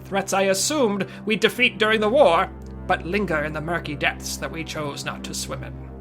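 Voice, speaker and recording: An actor playing a weary, wry and decisive hardboiled investigator in an old-time radio drama. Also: male voice, sits high, normal speed, a bit bright, faint music behind. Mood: resolute narration. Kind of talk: old-time radio detective drama, first-person report narration.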